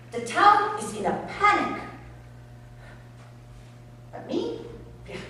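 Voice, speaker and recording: A woman's voice: on the high side, a bit bright. A woman playing a defiant Dutch colonist woman accused of witchcraft, scornful and indignant, with clipped non-native grammar. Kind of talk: storytelling performance in character. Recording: echoey room, distant mic, faint hum.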